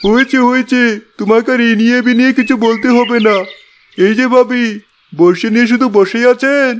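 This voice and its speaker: A man's voice: extremely high pitch, fairly even intonation, normal speed, slight background noise. A man voicing a jovial, mocking village farmer who teases his neighbours, in a cartoon style. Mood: teasing. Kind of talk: cartoon voice acting.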